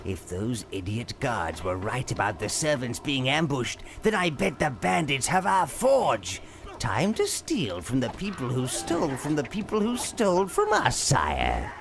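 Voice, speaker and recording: An actor playing a sly, greedy old minion who advises a dark lord in a video game, fawning and sardonic, with music behind. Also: male voice, medium pitch, huge pitch swings, a little slow, creaky, noisy background, exaggerated voice. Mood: scheming, scornful. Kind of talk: theatrical video game character acting.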